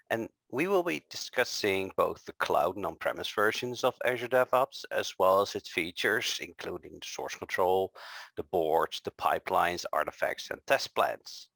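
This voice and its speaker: Man, very deep voice, bright forceful tone, dry close sound, friendly presenter, frequent ums. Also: dry recording. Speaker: conference co-presenter. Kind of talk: scripted tech presentation.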